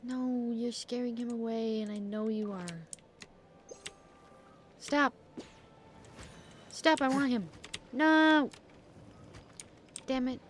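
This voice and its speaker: Casual female game streamer, slow-paced and playful, sweary self-talk, with some clicky background noise. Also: fairly high pitch. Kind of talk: casual gameplay commentary.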